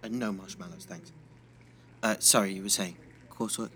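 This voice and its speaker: A young man playing a gentle, patient teenage schoolboy in a naturalistic audio drama, with an East Midlands English accent and a hesitant, placating manner. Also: low-pitched, moderate pace, crowd noise, close to an everyday voice. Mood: sympathetic. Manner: hesitant, placating, repeats words.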